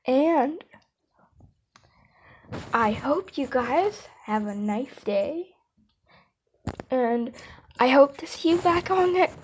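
A woman's voice: high voice, animated, a little hiss or hum. A young woman rambling casually and hesitantly as an amateur video creator, often correcting herself. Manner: hesitant, halting, self-correcting. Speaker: amateur comic dub creator.

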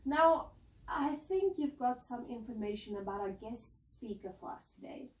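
A woman's voice: a little high, animated, moderate pace. A woman in her twenties interviewing a guest in a casual, bubbly way, with frequent ums and restarts. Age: 20s. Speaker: announcer and interviewer. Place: indoor lounge set, seated on couch. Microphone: far camera mic. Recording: roomy echo, distant mic.

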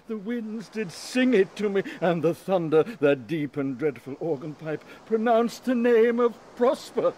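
A man's voice: high-pitched, dark tone, a little hiss or hum. An actor playing a guilt-stricken, grieving noble father, declaiming anguished Shakespearean verse in an audio play.

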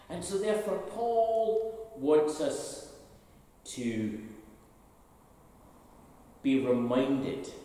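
Older Scottish minister preaching in an echoey church. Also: male voice, mid-range voice, dark tone. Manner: rhetorical questions, emphatic preaching. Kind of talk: sermon. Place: large church interior, at a pulpit. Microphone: stand mic at pulpit, camera from a distance. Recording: echoey church hall from far off.

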